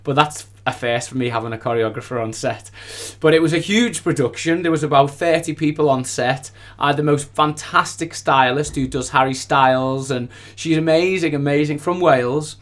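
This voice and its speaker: A man's voice: a little high, normal speed, hardly any pauses, a bit bright. A man speaking as a musician guest, telling anecdotes in a casual, gushing, enthusiastic way. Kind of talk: casual anecdotal interview chat.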